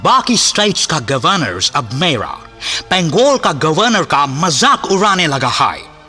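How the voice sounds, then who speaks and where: Stern British governor, husky, high-set male voice, pompous, British-accented Hindi, radio play, music behind. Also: very animated, bright, forceful tone, full character voice. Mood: grave, indignant. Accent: British-style accented Hindi, put on. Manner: pompous, deliberate, drops in English phrases. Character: stern British colonial governor of Bengal, imperious and aggrieved.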